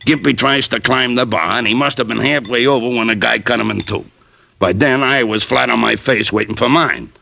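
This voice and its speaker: A man's voice: mid-range voice, fairly quick, vocal fry, bright, forceful tone, no room sound. A man playing a surly, greedy bartender in an old-time radio crime drama, talking in tough street slang. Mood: matter-of-fact. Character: surly, greedy male dive-bar bartender.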